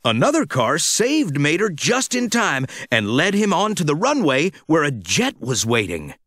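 Animated, unhurried male children's storyteller. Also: on the high side, dry recording, clean studio sound. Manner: dramatic, expressive delivery for children. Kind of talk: scripted read-along storytelling.

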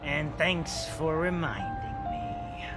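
An actor playing a proud, gruff barkeep in a video game, with a hearty, folksy, emphatic way of talking. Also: male voice, fairly high pitch, wildly swooping pitch, takes it slowly, over music, full character voice. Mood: hearty welcome. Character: proud, gruff barkeep in a post-apocalyptic harbor town. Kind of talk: video game character acting.

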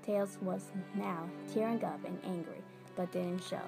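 A woman's voice: lowish voice, steady tone, unhurried, vocal fry. A woman reading scripted story narration aloud as a narrator. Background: music.

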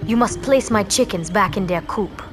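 An actress playing a mysterious young swamp mystic in a cartoon game, speaking in a grave, measured, cryptic way. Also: female voice, medium pitch, music in the background, natural voice. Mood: solemn, commanding. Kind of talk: video game cartoon acting.

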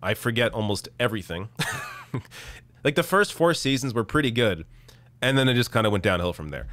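A man in his thirties hosting a live political stream, reading chat and answering in a casual, halting way while losing his voice. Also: mid-range voice, lively intonation, a bit bright, no room sound. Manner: casual, halting, many restarts. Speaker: political show host. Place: small dark room, indoors. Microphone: studio mic on arm in front.

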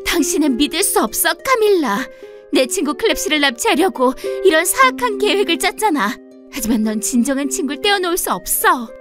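A woman's voice: high voice, very animated, a little fast, music in the background, pitched above the performer's own voice. A woman voicing a brave, kind young princess in a children's fairy tale, earnest and dramatic. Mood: defiant.

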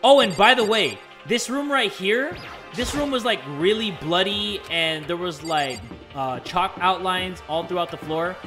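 Hoarse, worn-out guy chatting through a game. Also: high voice, a little slow, bright, forceful tone, faint music behind. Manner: chatty, thinks aloud with many fillers. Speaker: gaming video host. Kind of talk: let's play commentary, reading game text aloud.

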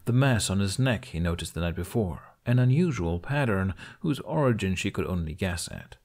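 A man's voice: low-pitched, expressive, moderate pace, a little mellow. A man reading scripted fiction as an audiobook narrator.

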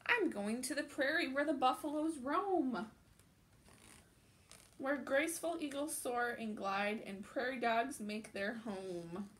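A woman's voice: high voice, slow pace, a bit bright, a little hiss or hum. A woman reading the lines of a cheerful male bear on a journey aloud, in a sing-song storybook rhythm.

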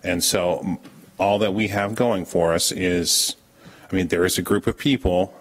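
A man with a very low, deep voice holds his ground at a town board meeting. He explains his point firmly, often restarting sentences as he works it through. The sound comes from a camera set across a meeting room. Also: fairly even intonation, moderate pace. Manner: firm, explanatory, with frequent restarts. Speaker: town official presenting an ordinance. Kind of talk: public meeting discussion.

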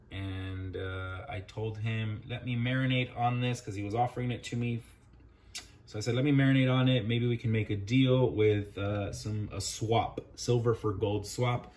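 Male vlogger, lower-pitched everyday conversational delivery with a soft, mellow tone, chatty recap for viewers. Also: fairly even intonation, moderate pace. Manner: informal, conversational, addressing viewers as guys and buddy. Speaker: video host, coin collector. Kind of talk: casual vlog recap to viewers.

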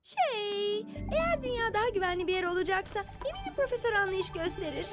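A woman voicing a clever, bossy little girl superhero who leads her team, speaking briskly and taking charge. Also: very high-pitched, very animated, music in the background. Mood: take-charge. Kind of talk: cartoon dub acting. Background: cartoon score.

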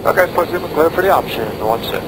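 A man's voice: fairly high pitch, expressive, quick pace. Man piloting, clipped phrases over engine noise. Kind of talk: radio calls and self-talk while flying. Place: small aircraft cockpit in flight. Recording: loud aircraft engine noise.

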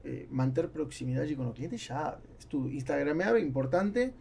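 A man in his forties, a native Spanish speaker with a South American accent, talking haltingly as a guest in an interview. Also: fairly high pitch, lively intonation, gravelly, a little mellow, a little hiss or hum. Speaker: business owner, podcast guest. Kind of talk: casual interview chat. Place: small foam-treated podcast studio. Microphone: podcast mic on boom arm.

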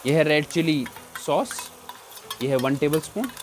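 A man's voice: on the high side, animated, measured pace, slight background noise. A man talking through a recipe, chatty and repeating himself as he shows each step. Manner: chatty, repeats "look here" often. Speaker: cooking show chef. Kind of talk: casual cooking demonstration.

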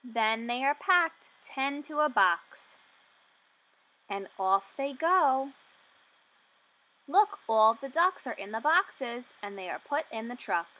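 Young lady doing storytime for little kids, very singsong, slow, bit of background noise. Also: a little high, poor audio. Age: 20s. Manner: animated, questioning, deliberate enunciation for children. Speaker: children's teacher reading aloud. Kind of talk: picture-book read-aloud for young children.

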